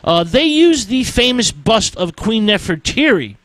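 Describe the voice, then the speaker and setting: A man hosting a radio segment on film history, talking slowly with frequent "uh" fillers and halting pauses. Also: sits high, big pitch swings, fairly bright tone, no echo. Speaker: radio host.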